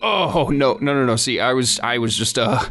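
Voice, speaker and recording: A man's voice: medium pitch, normal speed, a bit bright, natural voice. A man playing a sly, stammering cosmic thief. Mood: flustered. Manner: stammering, then smooth and dismissive. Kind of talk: audio drama acting. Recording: music bed underneath.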